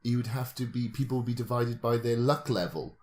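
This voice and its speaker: A man's voice: medium pitch, fairly even intonation, brisk, darkish tone. A man co-hosting a podcast, joking and bantering in casual conversation. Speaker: podcast co-host.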